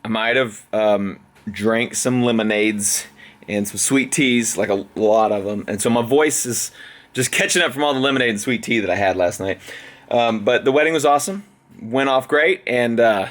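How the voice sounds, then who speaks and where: A slightly hoarse young man talks through his day in an easygoing, rambling vlog. His voice sits at a middle pitch and bounces off an echoey room. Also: moderate pace, fairly bright tone. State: a little hoarse. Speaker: veterinarian vlogger. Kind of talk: casual vlog chat.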